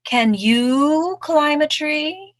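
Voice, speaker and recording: A woman voicing a challenging snake with taunting, drawn-out speech in a children's picture-book read-aloud. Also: high voice, expressive, no room sound, studio quality, pitched above the performer's own voice, exaggerated voice.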